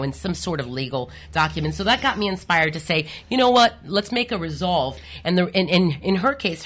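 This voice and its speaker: Female co-host on studio radio, low-ish voice, chatty and lively, laughs easily. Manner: lively, animated storytelling, laughs and exclaims. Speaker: co-host, financial planner. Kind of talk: radio talk show chat.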